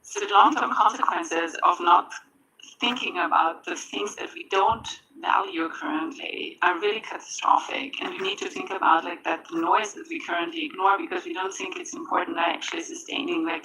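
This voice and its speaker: A woman makes her point on a conference panel, her voice gravelly and bright. She speaks haltingly, with fillers and restarts, over faint background noise. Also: mid-range voice, expressive, poor audio. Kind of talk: panel discussion. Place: conference stage.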